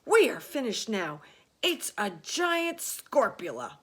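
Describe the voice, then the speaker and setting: A pompous, panicky fire chief in a children's story, as a woman narrator puts him on. He blusters and exclaims in a raised, loud voice. His pitch swoops as alarm takes over. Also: animated, slow pace.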